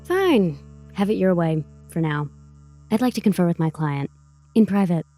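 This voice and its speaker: An actress playing a brash, self-assured lawyer with breezy, theatrical sarcasm in an audio drama. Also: female voice, fairly low pitch, animated, normal speed, warm tone, natural voice. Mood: firm, controlling. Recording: faint music behind the voice.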